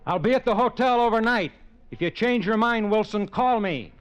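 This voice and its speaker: Pushy talent agent, brisk, lively pitch, dull old radio sound, faint music. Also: male voice, very noisy. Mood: insistent. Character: brash talent agent narrating his own story. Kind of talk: 1940s radio acting.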